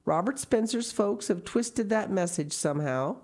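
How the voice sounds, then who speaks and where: A woman voicing a stern, sharp-tongued older woman from a storybook, scolding in a brisk, curt, emphatic way. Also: sits low, animated. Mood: indignant, wrathful. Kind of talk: storybook character reading.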